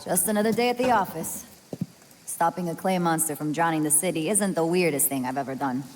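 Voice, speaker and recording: Casting: woman playing tired game policewoman, lowish pitch, sarcastic and dry, clear background noise. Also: fairly quick, darkish tone, lightly acted. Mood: wry, exasperated. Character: weary, sarcastic policewoman annoyed by vigilantes. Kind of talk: video game acting.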